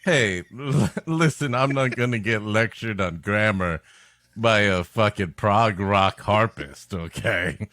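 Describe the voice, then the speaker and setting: A man's voice: lowish voice, takes it slowly, fairly bright tone, dry recording. A man co-hosting a comedy show, riffing casually with wry, mock-serious asides. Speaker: podcast co-host. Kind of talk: casual comic banter.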